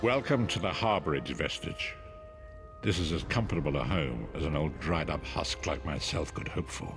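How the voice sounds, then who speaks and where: Deep-voiced, solemn old blind prophet. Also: male voice, expressive, over music. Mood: welcoming, solemn. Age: older. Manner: solemn, measured, archaic phrasing. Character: blind old prophet, frail, grave, kindly mystic. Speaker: story guide. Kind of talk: dramatic fantasy game narration.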